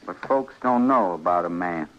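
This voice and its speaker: Simple-minded rural American drifter, lowish voice, folksy and deferential yet fixated, muffled vintage radio western. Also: male voice, lively intonation, fairly bright tone. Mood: earnest, brooding. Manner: slow-witted, folksy, deferential. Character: simple, polite, obsessed drifter with a hanging rope. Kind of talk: old-time radio western acting. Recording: muffled old radio recording.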